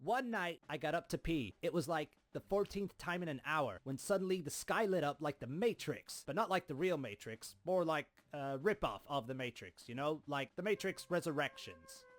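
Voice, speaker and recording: A performer voicing a crude, clueless young boy in a comedic fan-dubbed cartoon, rambling through deadpan asides. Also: medium pitch, normal speed, hardly any pauses, lightly acted. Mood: deadpan narrating. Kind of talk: comedic fan-dub cartoon narration and dialogue.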